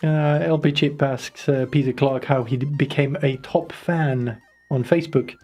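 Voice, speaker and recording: Co-host: mid-pitched man, rambling and halting, faint music behind. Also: steady tone, dark tone. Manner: rambling, halting, many uh fillers. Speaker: radio co-host. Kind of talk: casual live radio chat. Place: basement studio.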